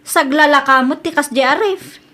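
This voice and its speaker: Ilocano woman, high voice, disapproving daughter who lectures, audio drama. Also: moderate pace, pushed and bright.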